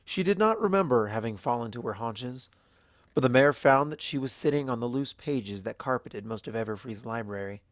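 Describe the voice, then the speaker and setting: A man with a medium-pitched voice narrates fiction in a solemn, measured manner. The sound is very dry, like a plain audiobook reading. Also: animated, no echo. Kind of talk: scripted dramatic fiction narration.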